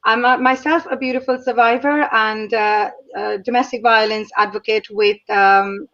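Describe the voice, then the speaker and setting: A woman co-hosts an online talk show, sounding friendly and pausing with many fillers. Her voice sits a little high. The audio is muffled and lo-fi, like a video call. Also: steady tone. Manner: warm and friendly, with frequent "uh" fillers. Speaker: co-host.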